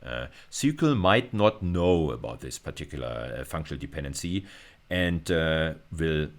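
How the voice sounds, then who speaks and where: A man's voice: rumbling low, lively intonation, normal speed. A man lecturing at university level in an informal screencast style, explaining hesitantly and often repeating and rephrasing himself.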